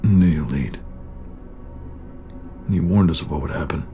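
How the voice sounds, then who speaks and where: A man's voice: extremely low pitch, measured pace, mellow, soft background music. A man playing a bitter, battle-worn shock trooper sergeant in a sci-fi audio drama, terse and brooding. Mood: bitter, accusing.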